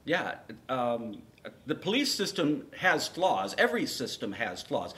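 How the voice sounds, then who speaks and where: A man's voice: medium pitch, big pitch swings, unhurried, pushed and bright. A man co-hosting a call-in show, talking bluntly and casually with firm political opinions. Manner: blunt, agreeing firmly. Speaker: talk show co-host taking calls.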